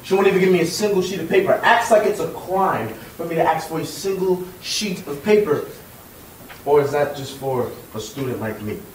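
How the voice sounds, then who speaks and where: A man's voice: a little high, animated, normal speed, a little hiss or hum, lightly acted. A young man playing a cocky student who complains wryly. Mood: aggrieved. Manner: complaining, wry. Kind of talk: stage monologue. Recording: echoey room from far off.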